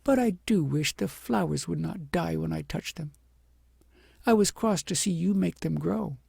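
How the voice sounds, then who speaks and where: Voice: mid-range voice, moderate pace, vocal fry, a little mellow, husky, no room sound, clean studio sound, pitched above the performer's own voice. A reader voicing a young princess, humbled, earnest and full of feeling, in a storybook. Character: remorseful young princess, emotional, humbled and adoring.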